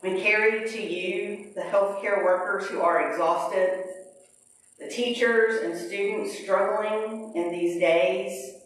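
A middle-aged woman pastor leading a prayer and reading scripture in a measured liturgical way, at a pulpit in a large church. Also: medium pitch, steady tone, a little hiss or hum. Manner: slow, measured liturgical delivery. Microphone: pulpit mic, far camera. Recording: very echoey sanctuary, distant camera.

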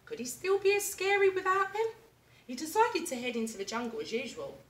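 A young woman teacher reading a picture book aloud with lively, expressive storytelling, in a classroom reading corner recorded from a distance. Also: high voice, brisk. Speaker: primary school teacher. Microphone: camera at a distance. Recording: echoey room, mic far off.

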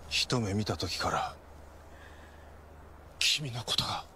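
An actor playing an earnest, lovestruck suitor in a melodramatic comic commercial. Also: male voice, fairly low pitch, lively intonation, moderate pace, pushed and bright, a little hiss or hum. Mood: earnest, romantic. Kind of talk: melodramatic Japanese commercial acting.

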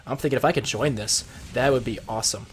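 A young man with a cold, sounding a bit husky and deeper, casually explaining strategy as he plays and comments on a video game at his computer. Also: quick pace, slight background noise. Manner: chatty, explains strategy while playing. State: sick with a cold. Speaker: gameplay commentator. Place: home room at his computer.

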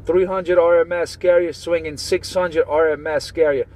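A man keys up on his CB radio. His voice sits fairly high, and he talks in loose slang, swears freely and trades call signs. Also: fairly even intonation, normal speed, a little mellow. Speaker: CB radio operator. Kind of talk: casual CB radio chatter. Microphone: radio transceiver mic. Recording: transmitted over CB radio.

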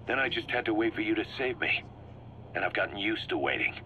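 A man's voice: on the low side, fast talker, lightly acted. A man playing a driven, shrewd mercenary commander in a video game, briefing his boss in an emphatic, assured way. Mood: grim. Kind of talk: scripted video game dialogue, explaining plans. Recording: muffled cassette-like sound with background hum.

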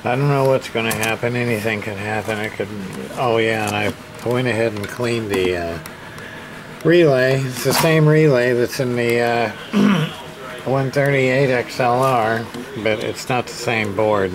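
Guy doing a repair demo, talks real slow, mutters to himself, medium pitch, noticeable noise behind him. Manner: hesitant, halting, muttering to himself. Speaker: hobbyist radio repair presenter. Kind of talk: casual hands-on demonstration, thinking aloud. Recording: clear background room noise.

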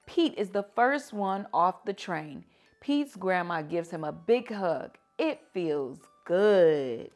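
A woman reading picture books aloud to children like a teacher, with animated, drawn-out emphasis. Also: fairly low pitch, faint music behind. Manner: animated, drawn-out emphasis, asking listeners questions. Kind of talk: expressive children's story read-aloud.

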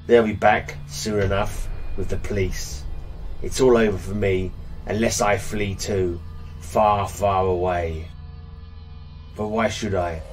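A man's voice: lowish voice, fairly even intonation, takes it slowly, a little mellow, soft background music. A man voicing a lonely, cursed man who drains life from anything he touches, speaking in a brooding, mournful way. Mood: despairing. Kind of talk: comic book reading.

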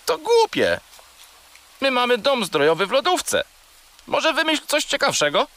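A curious little furry boy creature speaks in a bright voice that leaps all over. He is naive, excitable and opinionated, in the manner of a children's radio play. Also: very high voice, unhurried, exaggerated voice. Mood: curious, unimpressed. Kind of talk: children's audio drama acting.

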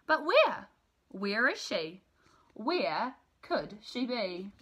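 A woman's voice: a little high, big pitch swings, very slow, a bit bright, slightly rough, heavily acted. A woman reading a storybook, voicing a small lost male baby bird, earnest and pleading. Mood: anxious.

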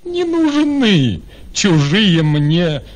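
Proud jealous gentleman, very slow and swooping. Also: sits high, very animated, exaggerated voice. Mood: scornful, indignant. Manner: declamatory, dramatic. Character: proud, jealous gentleman, scornful of a compromised bride. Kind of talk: theatrical verse radio drama acting.

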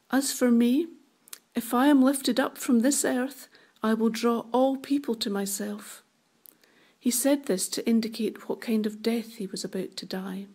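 A woman reads scripture as part of a church service. Her voice sits a little high. The delivery is formal, measured and deliberate. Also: a little mellow. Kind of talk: formal Bible reading.

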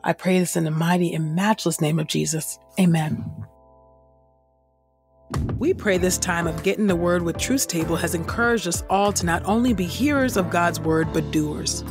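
A woman's voice: lowish voice, moderate pace, faint music behind. A woman podcast host praying aloud in an earnest, fervent devotional style with repeated invocations. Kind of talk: spontaneous spoken prayer, devotional.

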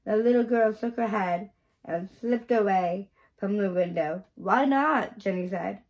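A middle-aged woman reading a children's picture book aloud in a careful, deliberate manner. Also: mid-range voice, fairly even intonation, dark tone, poor audio. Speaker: storybook reader. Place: small indoor bedroom.

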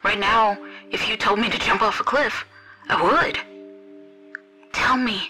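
A woman performs a spellbound female follower in a dramatized story. She is breathy, devoted and pleading, as if worshipping the person she speaks to. Music plays clearly behind her mid-pitched voice. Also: steady tone, a little slow, bright, forceful tone. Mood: entranced, adoring. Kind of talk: dramatized audio story acting.

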